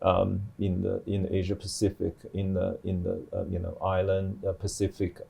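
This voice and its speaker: A middle-aged man explaining things on a panel as a professor, in a halting way with many restarts. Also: deep voice, completely flat delivery, gravelly, warm tone, slightly rough. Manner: halting, hesitant delivery with repeated restarts. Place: TV studio.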